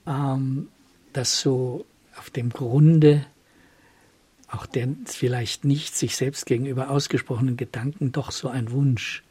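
Older gentleman, earnest and circumspect, very breathy husky, mellow voice, radio drama. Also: medium pitch, fairly even intonation. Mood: earnest, troubled. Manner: halting, circumspect, formal. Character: earnest, troubled older gentleman seeking companionship. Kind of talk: improvised conversational radio drama.

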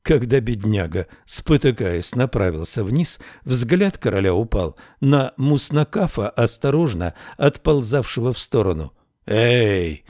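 Slow, measured male audiobook narrator. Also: medium pitch, very animated, a little mellow, no room sound, studio quality. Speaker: audiobook reader. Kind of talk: scripted narration.

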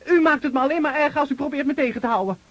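An actor playing a naive, brave young soldier in a radio drama, earnest and eagerly enthusiastic. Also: male voice, extremely high pitch, very fast. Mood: insistent, eager.

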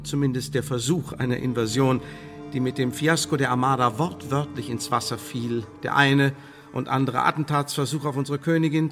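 Male spymaster played by an actor for Elizabethan radio drama: formal, pompous, silkily threatening, mid-pitched, in a long reverb. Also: natural voice. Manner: formal, pompous, ornate officialese with veiled threats. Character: smooth, menacing English secret service chief, Elizabethan era. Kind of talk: German radio drama acting.

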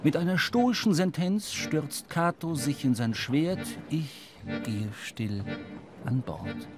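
A man's voice: on the high side, expressive, slow. An actor playing a brooding, wry wanderer, telling his own story in a literary radio drama. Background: loud music.

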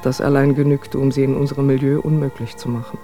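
An actor playing a memoirist who mournfully recalls his family circle in a measured, formal radio drama monologue. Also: mid-range voice, little pitch movement, warm tone, soft background music, natural voice. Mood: reflective.

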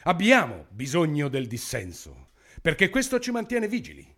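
Pompous, menacing government minister, wildly swooping delivery. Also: male voice, a little high, wildly swooping pitch, moderate pace, fairly bright tone, full character voice. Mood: menacing, smug. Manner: grandiloquent, emphatic declamation. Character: pompous, menacing authoritarian male government minister, smugly brutal. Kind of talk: theatrical satirical radio play acting.

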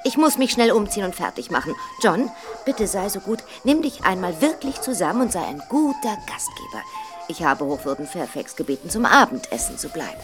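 A woman's voice: mid-range voice, very animated, fairly quick, over music, close to an everyday voice. An actress playing a poised, strong-willed lady of the manor in a radio drama, polished and firmly chiding. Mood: smooth, chiding. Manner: chiding, socially polished, firm.

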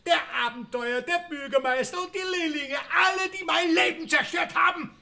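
Radio crime drama: cornered Austrian village killer, bitter and indignant, forceful high voice with gravelly edge. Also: male voice, moderate pace, full character voice. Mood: hysterical rage. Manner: indignant, sneering, ranting. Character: bitter cornered village murderer, husband abandoned by wife.

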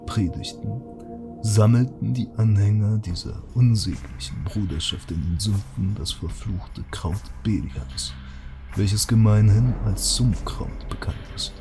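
A man playing a devout, zealous cardinal chronicler who solemnly condemns heretics in measured, archaic, preaching narration for an audio drama. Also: lowish voice, music in the background. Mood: solemn condemnation.

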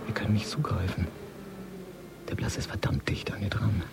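An actor playing a tense, cynical gangster in a radio drama monologue, muttering in short clipped phrases that often sink toward a whisper. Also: male voice, fairly low pitch, little pitch movement, normal speed, creaky. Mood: tense, suspicious. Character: tense, cynical male Moscow gangster watching a handover. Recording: studio radio play with prominent music. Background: music.

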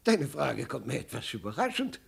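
Creaky, swooping old emperor father. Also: medium pitch, huge pitch swings, heavily acted. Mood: mildly surprised. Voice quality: husky, creaky. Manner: hesitant, mild, drawn-out murmuring. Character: doddering, genial old emperor, father of a princess. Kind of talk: 1950s German radio play acting.

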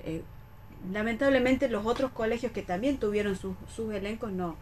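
A woman's voice: on the high side, steady tone, normal speed, darkish tone. A woman with an Argentine accent in a casual radio discussion, as one of the panelists. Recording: clear background noise.

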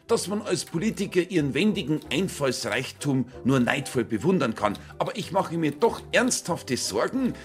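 A man giving a dramatic reading of official letters in a mock-formal, emphatic manner. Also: fairly high pitch.

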